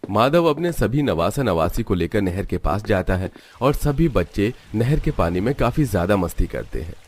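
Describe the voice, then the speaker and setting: A man reading scripted narration for an animated family story. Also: lowish voice, moderate pace, a little hiss or hum.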